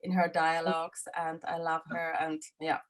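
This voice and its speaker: A woman's voice: low voice, completely flat delivery. Woman, hesitant filmmaker talk. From Finland. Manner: halting, many fillers and restarts. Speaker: film director. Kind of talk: festival interview, casual answers.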